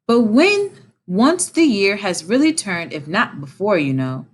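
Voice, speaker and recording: An authoritative storybook badger, acted out by a woman, master of his house. He is firm and hospitable, speaking deliberately with very animated swings of pitch. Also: a little hiss or hum.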